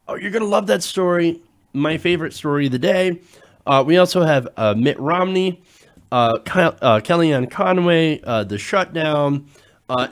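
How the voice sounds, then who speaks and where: A man hosting a casual political talk show, sounding nasal while getting over a cold, chatty and loose, with many filler sounds. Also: mid-range voice. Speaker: show host.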